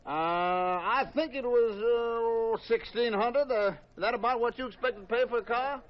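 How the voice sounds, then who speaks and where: An actor playing a big, fast-talking used-car salesman, folksy and quick to turn belligerent, in 1950s radio drama style. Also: very high-pitched. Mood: glib, evasive. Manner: glib sales patter, evasive stammering. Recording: dull vintage radio recording.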